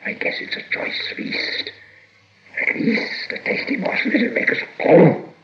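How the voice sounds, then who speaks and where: A man's voice: a little slow, close to an everyday voice. A man voicing a slimy, hungry cave creature in a storybook reading, in a hissing whisper, talking to himself in a sly, wheedling way. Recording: dull lo-fi recording.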